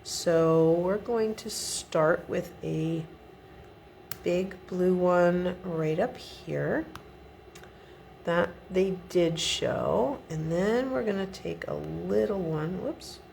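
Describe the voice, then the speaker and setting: A middle-aged woman talks through a card-making project at her desk in an unhurried, low voice with a gravelly edge. She sounds a little sniffly from a cold, chatting casually as she works. Faint room hum sits behind her. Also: a little mellow. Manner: chatty step-by-step instructions. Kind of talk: relaxed craft tutorial. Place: home craft desk indoors. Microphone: overhead camera above the desk.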